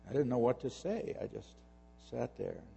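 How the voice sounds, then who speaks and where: A man telling a personal story out loud, halting and repeating words as he goes, with a long hall reverb around his voice. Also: mid-range voice, sing-song, gravelly, highs cut off, soft background music.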